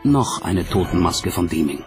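A man's voice: sits low, animated, moderate pace, music in the background. A man reading scripted documentary narration as the narrator.

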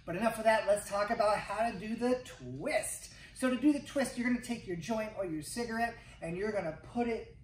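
A man's voice: high-pitched, brisk, hardly any pauses, far from the mic, slight background noise. A man hosting a casual instructional video diary as a dance teacher, chatty and playful in his delivery. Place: wood-panelled room indoors. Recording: echoey room, away from mic, music.

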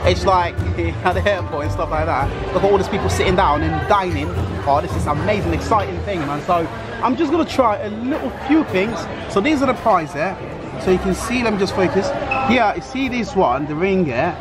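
Excitable high-voiced man vlogging a mall. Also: a little fast. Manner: excitable, chatty, calls viewers "man". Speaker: food vlogger. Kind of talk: casual vlog narration. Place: city streets and busy mall sushi restaurant. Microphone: handheld camera held at arm's length. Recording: loud background music and crowd noise. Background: loud music and crowd chatter.

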